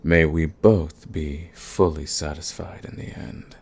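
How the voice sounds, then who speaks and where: Seductive male vampire lord, played by a man, very low and husky, courtly menace, purring sadist for ASMR roleplay. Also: fairly even intonation, slow pace, a little mellow, heavily acted. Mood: smug, courtly. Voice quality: gravelly, husky. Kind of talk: ASMR roleplay, scripted monologue to the listener.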